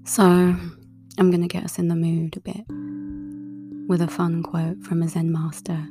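Low flat woman, almost whispering, really slow and relaxed, guitar playing behind her. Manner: slow, calm, breathy half-whispered delivery. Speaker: tarot reader and writer. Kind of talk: soft-spoken monologue. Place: outdoors in a wooded area. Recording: clear guitar music underneath. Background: guitar music.

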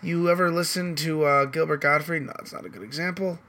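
Hoarse man rambling on a podcast. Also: fairly high pitch, fairly even intonation, fairly quick, sharp tone. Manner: loose, rambling, swears casually. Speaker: podcast host. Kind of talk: casual chat.

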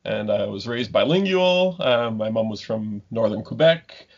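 A man in his thirties from Ontario, a guest scientist talking casually in an interview, halting with many ums and restarts. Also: on the low side, lively intonation, slightly rough. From Ontario, Canada. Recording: dull lo-fi remote call audio.

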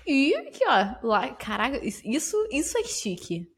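Young Brazilian woman co-host, teasing, slangy, quick to laugh. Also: a little high, huge pitch swings, a bit bright, slightly rough. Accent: Brazilian Portuguese. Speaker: podcast co-host. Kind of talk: casual chat.

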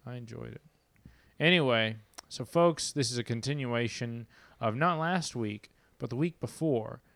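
A man's voice: medium pitch, unhurried, vocal fry, fairly bright tone, no echo. A young man hosting a casual podcast on a handheld mic, rambling with restarts and casual swearing while addressing listeners. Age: young adult. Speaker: podcast host. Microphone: handheld mic with pop filter.

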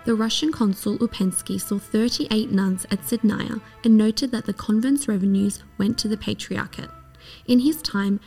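A woman reading a scripted documentary narration in a measured, even way. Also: mid-range voice, husky.

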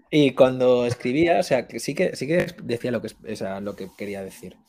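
A young man chatting casually as an interview guest, speaking colloquially and haltingly as he thinks aloud. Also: mid-range voice, darkish tone, slight background noise. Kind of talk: casual chat.

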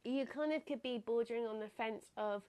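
A woman's voice: a little high, a little fast, mellow, clean tone. Chatty, slightly nasal woman podcaster. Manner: chatty, reflective, laughs often. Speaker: podcast host. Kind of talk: casual solo podcast talk.

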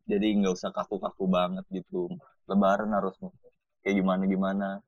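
Low-voiced young man joking around. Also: monotone, a little fast, no room sound, muffled recording. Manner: easygoing, joking. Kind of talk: casual chat.

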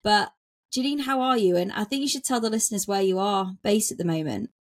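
A woman's voice: medium pitch, a little fast, no echo, clean studio sound. A woman hosting a podcast, reading a scripted introduction and then chatting in a friendly way with her guest. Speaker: podcast host. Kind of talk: read intro then casual interview.

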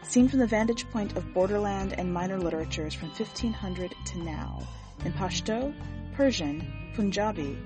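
A woman reading scripted narration for a literary fiction podcast in a measured, deliberate and expressive way. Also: medium pitch, music in the background. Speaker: podcast host and story reader.

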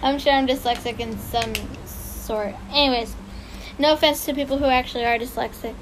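A woman with a high voice reads a story aloud in a loose, casual way, often stumbling, restarting and apologizing. A steady hiss of noise sits under the recording. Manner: halting, apologetic, laughs at her own slips. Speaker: podcast co-host reading a story.

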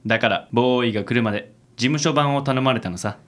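An actor playing a suave, affected, good-natured company president in a radio drama. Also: male voice, medium pitch, quick pace, natural voice. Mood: suave, breezy. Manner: suave, theatrical, gentlemanly.

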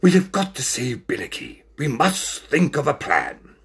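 A man's voice: medium pitch, wildly swooping pitch, measured pace, a bit bright, husky, voice pushed up, exaggerated voice. Man as a sensible, emphatic storybook tapir. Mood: resolute. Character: sensible, exasperated but kind male tapir.

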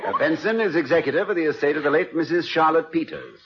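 An actor playing a genial, scholarly college president and playfully wordy husband in 1950s radio comedy style, courteous, wry and musing. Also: male voice, on the high side, fast, a loud crowd, heavy background noise, close to an everyday voice. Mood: wry, pleased. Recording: dull vintage radio recording.

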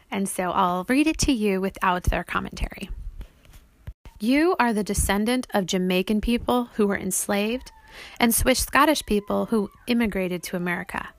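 A woman's voice: medium pitch, fairly bright tone, dry recording. A woman reading an audiobook as its author, explaining things simply, as if to young children.

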